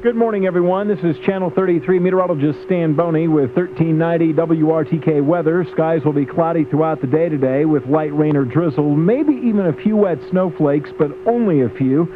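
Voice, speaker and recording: A man's voice: fairly high pitch, a little fast, hardly any pauses. A man giving a scripted radio weather report as a forecaster. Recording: tinny AM radio with clear hum.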